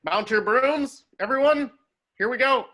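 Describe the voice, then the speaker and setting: A man playing a gruff, commanding veteran wizard in an amateur script read, barking clipped orders. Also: very high voice, unhurried, sharp tone, exaggerated voice. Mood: urgent, shouting.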